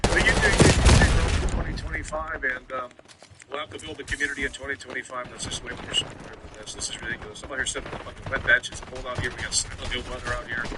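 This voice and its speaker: A man's voice: mid-range voice, flat delivery, fast, background noise. A man livestreaming an emphatic, repetitive, combative rant to his viewers about video game news. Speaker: live stream host. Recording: loud gunfire and clicks behind voice.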